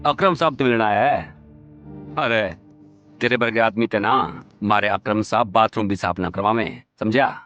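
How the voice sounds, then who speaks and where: A man's voice: lowish voice, moderate pace, fairly bright tone, no room sound, muffled recording, soft background music, natural voice. A man with a Haryanvi accent playing a rude, sneering hotel security guard in an audio drama. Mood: scornful.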